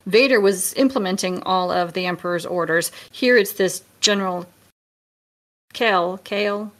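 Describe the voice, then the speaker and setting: A woman's voice: mid-range voice, moderate pace. Analytical woman co-hosting a film podcast. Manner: analytical, thinks aloud while comparing stories. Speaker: podcast co-host and author. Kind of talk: casual film discussion.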